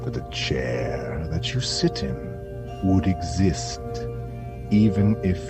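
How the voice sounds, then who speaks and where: An actor playing an eerie, grandiose radio science reporter, delivering a portentous monologue with dramatic pauses over music. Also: male voice, low-pitched, expressive. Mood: solemn, probing. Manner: portentous, dramatic pauses, swinging from hush to proclamation. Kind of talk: theatrical scripted radio monologue. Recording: music behind.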